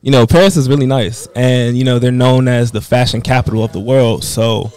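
A man's voice: mid-range voice, a little fast, darkish tone. A man in his twenties from the Washington DC area, a fashion designer, talking in an easygoing way peppered with "you know.". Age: 20s. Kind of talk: casual interview answers.

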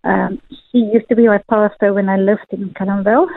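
A woman's voice: medium pitch, fairly even intonation, fairly quick, vocal fry, no room sound. South African woman on a tinny phone line. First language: Afrikaans. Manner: earnest, chatty, many fillers. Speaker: guest author. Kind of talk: phone interview.

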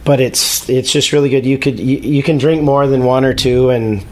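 A man's voice: mid-range voice, fairly even intonation, normal speed, a little mellow, low-quality recording. A man from Minnesota, a guest on a casual podcast, easygoing with a dry sense of humor. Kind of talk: casual chat.

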